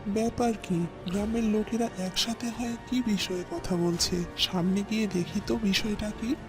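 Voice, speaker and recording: A man voicing a calm older village elder in cartoon story dialogue, measured and explanatory in manner. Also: high voice, music in the background, lightly acted. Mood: puzzled.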